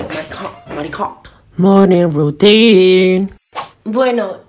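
A woman's voice: medium pitch, reverberant. A young woman in her twenties filming an ironic, self-mocking parody vlog on a handheld camera at arm's length in her small apartment. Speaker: vlogger. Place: small apartment kitchen and bedroom.

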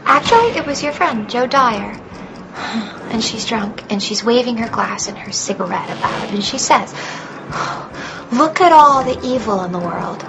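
A woman's voice: fairly high pitch, expressive, moderate pace, heavy background noise. An actress playing a possessed twelve-year-old girl in a radio drama, eerie, dreamy and knowingly taunting.

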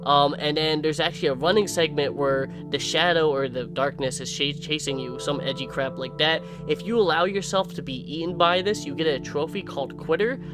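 A young man commentating on a horror game in a jokey, excitable way. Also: on the high side, brisk, few pauses. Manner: jokey, excitable, swears and shouts. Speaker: gameplay commentator. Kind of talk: gameplay commentary. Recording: game music behind voice.

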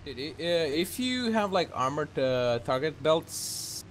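A man's voice: on the high side, big pitch swings, slow, slight background noise. A man in his twenties giving casual gameplay banter, with profane and urgent callouts to his teammate. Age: 20s. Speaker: gaming video creator.

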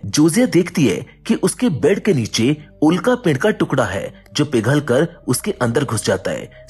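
A man's voice: medium pitch, husky. A man narrating a movie's plot as a scripted voice-over. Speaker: film recap narrator.

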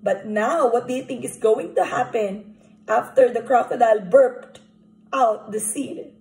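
High-pitched woman teacher reading to kids. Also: a little slow. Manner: animated, questioning, addressing children directly. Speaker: teacher reading aloud to young children. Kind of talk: interactive picture-book read-aloud. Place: small indoor room at home. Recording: echoey room recording.

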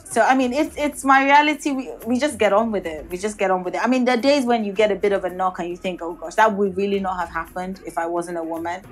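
A woman, her Yoruba, answering interview questions in a reflective way. She speaks at a middling pitch and often circles back with restarts and "you know". The talk sounds measured and considered. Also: faint music behind. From Nigeria. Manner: thoughtful, many restarts and "you know", occasional light laughs. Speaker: guest architect and designer.

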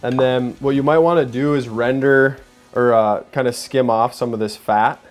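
A man's voice: fairly even intonation, moderate pace. Young man, cooking host, chatty, upbeat, home kitchen, faint background music. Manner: upbeat, chatty, says "boom" while working. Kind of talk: casual cooking demo.

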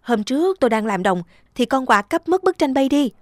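A woman's voice: sits high, very animated, a little fast, dry recording, clean studio sound, voice pushed up. A woman voicing an earnest, devoted young peasant husband in a cartoon folktale, exclaiming as he talks. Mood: alarmed.

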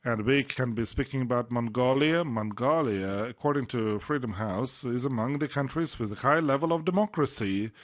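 A man interpreting a formal speech live, delivering it in halting phrases with frequent hesitations. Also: medium pitch. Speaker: simultaneous interpreter.

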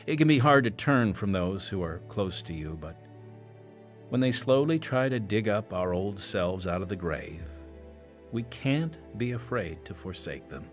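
Male devotional radio host, solemn and emphatic, lowish animated voice, deliberate scripted read. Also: faint music behind. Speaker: program host and announcer.

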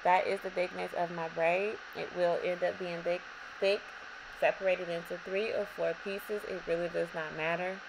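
A young woman with a slightly raspy voice, calmly talking viewers through a hair braiding tutorial in her bedroom. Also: on the low side, normal speed. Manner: relaxed, repetitive instructions addressing viewers. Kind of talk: step-by-step tutorial narration. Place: bedroom, indoors. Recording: audible background noise.

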